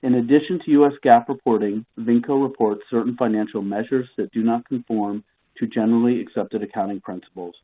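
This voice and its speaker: A man's voice: mid-range voice, fairly even intonation, a little fast, husky. A man reading formal, scripted corporate statements as the moderator of an earnings call over a conference phone line.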